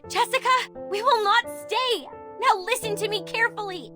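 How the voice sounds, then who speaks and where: A young woman voicing a caring but firm storyteller in a video game, earnest and gently reproachful. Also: extremely high pitch, moderate pace, over music. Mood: urgent, stern.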